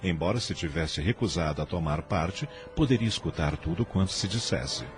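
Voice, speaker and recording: Deep, solemn male radio drama narrator. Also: animated, moderate pace. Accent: Brazilian. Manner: solemn, literary, dramatic phrasing. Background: music.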